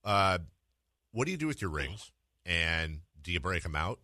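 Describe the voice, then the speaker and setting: A man's voice: sits low, big pitch swings, moderate pace, sharp tone, no echo, clean studio sound. A man hosting a sports radio show, putting conversational questions to a guest.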